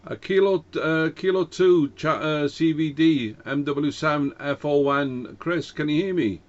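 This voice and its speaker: A man speaking as an amateur radio operator, courteous and hesitant, with frequent pauses. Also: on the high side. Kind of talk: ham radio contact with spelled-out call signs. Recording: dull, band-limited amateur radio audio.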